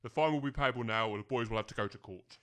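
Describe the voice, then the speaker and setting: A man's voice: mid-range voice, a bit bright, natural voice. A man playing a polite but unyielding village constable in an audio comedy drama, official and courteous. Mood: firm, official. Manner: measured wording, official, courteous.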